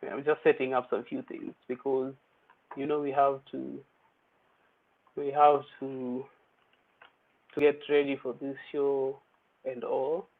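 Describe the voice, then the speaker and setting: A man's voice: medium pitch, level tone, a little slow, pauses often. Chatty man, tinny phone-like sound. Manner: chatty and playful, mixing English with Swahili slang. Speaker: host of an online poetry open mic. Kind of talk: casual livestream hosting.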